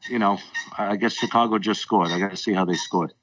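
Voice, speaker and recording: Opinionated Long Island caller, frustrated and stammering, heard from a distance over the line. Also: male voice, fairly low pitch, steady tone, fast talker, a bit bright, no room sound, muffled recording. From Long Island, New York. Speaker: phone-in guest.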